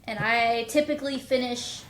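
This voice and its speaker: Higher-voiced woman in an echoey room. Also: fairly even intonation, moderate pace, slight background noise. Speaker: audio drama writer and producer, podcast guest. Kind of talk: casual interview chat.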